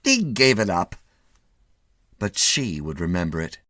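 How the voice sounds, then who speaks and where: Brief: male narrator, dramatic literary reading, low pitch with lively swings, theatrical. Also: unhurried, no echo, clean studio sound. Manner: expressive, theatrical. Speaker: story narrator.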